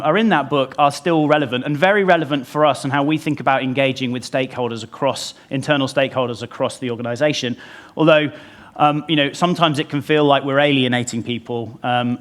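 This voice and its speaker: Man giving a conference talk, um-filled. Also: medium pitch. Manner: conversational, many ums and restarts. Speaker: conference speaker. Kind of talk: conference presentation. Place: conference stage before an audience. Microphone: lapel mic pack.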